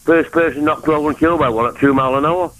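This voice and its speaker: A man's voice: a little high, rapid-fire, a bit bright, a little hiss or hum, low-quality recording. A man with a Mancunian accent rambling from topic to topic on a phone-in call. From England. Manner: rambling, jumps between topics. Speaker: caller.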